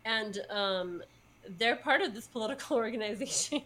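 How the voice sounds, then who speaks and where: A woman's voice: fairly high pitch, lively intonation, normal speed, fairly bright tone, low-quality recording. A woman co-hosting a podcast, chatting casually and rambling with fillers and laughs. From United States. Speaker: podcast co-host. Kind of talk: casual chat.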